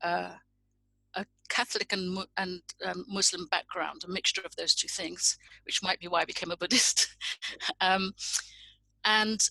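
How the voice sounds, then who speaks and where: A middle-aged woman from Indonesia talks in a reflective conversation. Her voice sits fairly low, with a bright, forceful edge to the tone. The sound is dry and close, and she picks her words with care. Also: fairly even intonation, normal speed, creaky, no echo, poor audio. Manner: thoughtful, searching for words. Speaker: guest.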